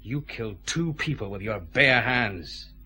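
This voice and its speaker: Relentless Scottish detective chief inspector, swooping pitch. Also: male voice, animated, bright, forceful tone. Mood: stern, triumphant. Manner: measured, accusing, deliberate interrogation. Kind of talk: radio detective drama acting.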